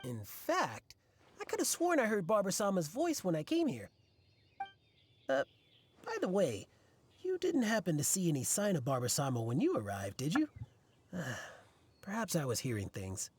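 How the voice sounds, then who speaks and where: A man playing an earnest, devoted young fan in an anime video game, excitable and hesitant. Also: high voice, normal speed, long pauses, a little hiss or hum. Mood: earnest, worried. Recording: game music behind.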